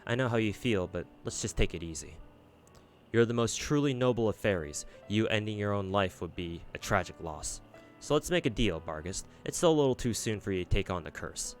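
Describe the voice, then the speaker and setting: A man doing a soft-spoken soothing caretaker. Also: fairly low pitch, lively intonation, brisk, faint music behind, lightly acted. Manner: soft, quiet, reassuring.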